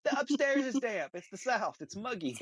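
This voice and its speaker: A man's voice: high voice, very animated, normal speed, husky, no echo. A man co-hosting a casual podcast, tossing in dry wisecracks. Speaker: podcast co-host. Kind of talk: casual banter.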